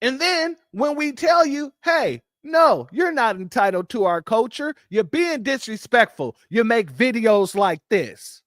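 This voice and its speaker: A livestream host speaks with heated emphasis. The pitch leaps up and down constantly. The sound is dry, with no room around it. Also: sing-song, measured pace, few pauses, no room sound. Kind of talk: livestream commentary.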